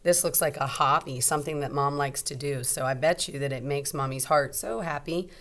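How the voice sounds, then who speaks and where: A woman teacher reading a storybook aloud to young children, expressively, pausing to explain and ask simple questions. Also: sits low.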